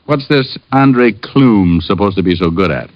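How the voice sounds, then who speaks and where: Wisecracking American gumshoe for vintage radio play; dry, teasing, very animated, muffled archival sound. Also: male voice, fairly low pitch, a little slow, no echo. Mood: wry, probing. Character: wisecracking, sardonic male private detective, former policeman. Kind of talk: 1940s-50s radio detective drama, narration and dialogue. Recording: dull old radio recording with music.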